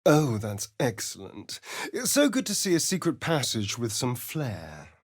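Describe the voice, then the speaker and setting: Sly vampire rogue, video game companion, theatrical drawl, very animated pitch, sardonic, vain, dry studio sound. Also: male voice, measured pace, dry recording, full character voice. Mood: wry amusement.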